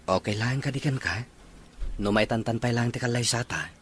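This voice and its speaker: A man's voice: mid-range voice, moderate pace, slight background noise, lightly acted. A man playing a humble, apologetic young man in an audio drama. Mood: grateful. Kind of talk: Ilocano audio drama acting.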